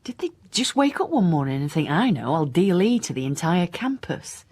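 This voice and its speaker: Radio drama: weary female inspector, husky, dry delivery, lowish register. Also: very animated, moderate pace, darkish tone. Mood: weary musing. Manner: blunt, dry, questioning. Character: driven, weary, sardonic female police inspector. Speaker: actor.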